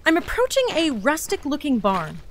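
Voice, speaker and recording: A woman playing an eager, naive explorer entity who excitably logs observations aloud in an audio drama. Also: high-pitched, normal speed, a little hiss or hum, full character voice. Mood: flustered, earnest. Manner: excitable, gushing, talking through observations aloud. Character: eager, naive young explorer entity logging a new reality. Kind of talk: audio drama mission log.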